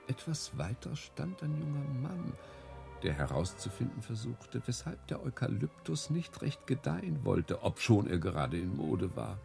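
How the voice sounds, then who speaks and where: An actor in a radio play playing a courtly, smooth-talking ship's captain, flattering and poetic as he woos and tells tales. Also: rumbling low, heavily acted. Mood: storytelling. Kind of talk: radio play acting, lyrical storytelling.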